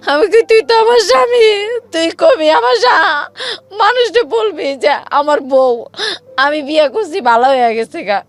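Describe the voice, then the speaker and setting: High-voiced Bangladeshi woman telling her life story. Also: expressive, moderate pace, soft background music. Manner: plain colloquial speech, reenacting quoted exchanges. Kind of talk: emotional personal storytelling.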